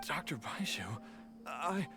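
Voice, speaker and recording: A man playing a weak, bewildered father in a video game, hesitant and halting as he talks. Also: a little high, animated. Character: weak, bewildered father brought back from death. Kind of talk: scripted video game voice acting. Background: game music.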